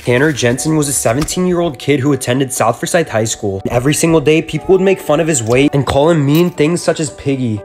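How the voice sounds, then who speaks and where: American man narrating over music. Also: medium pitch. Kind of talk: scripted dramatic story narration.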